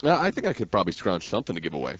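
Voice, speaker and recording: A man with a low voice hosts a talk radio show. He speaks quickly and informally, like a fan chatting with buddies. The audio is slightly dull and lo-fi. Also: huge pitch swings, fairly bright tone, slightly rough. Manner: informal, frequent "man" and "dude". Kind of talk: opinionated sports talk radio chat.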